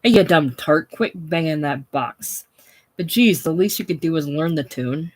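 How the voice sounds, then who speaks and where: Blustering old bar owner, loud and snappish, as a reader puts him on. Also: medium pitch, lively intonation, moderate pace, lightly acted, rough recording. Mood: angry. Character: gruff irascible older male saloon keeper.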